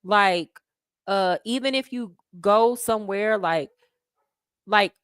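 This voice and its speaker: A young American woman hosting a casual livestream, chatty and slangy, answering viewers' questions with animated asides. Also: medium pitch, slow pace, clean tone, no room sound, clean studio sound. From United States. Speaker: livestream host answering chat. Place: home abroad.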